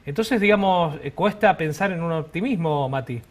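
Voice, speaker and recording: Un hombre con acento argentino que entrevista en vivo como co-conductor, con preguntas coloquiales y críticas. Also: male voice, a little high, lively intonation, normal speed. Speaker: TV co-host interviewer. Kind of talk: live interview questioning.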